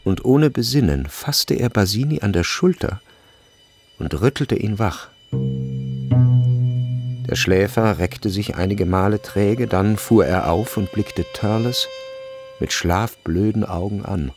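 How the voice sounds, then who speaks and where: A man narrating a literary radio drama in a measured, grave and deliberate way. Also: on the low side, music in the background.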